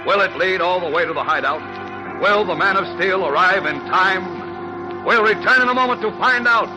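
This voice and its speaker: A man's voice: high voice, moderate pace, rough recording. Dramatic male radio serial narrator over music. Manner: dramatic, suspenseful. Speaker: announcer-narrator.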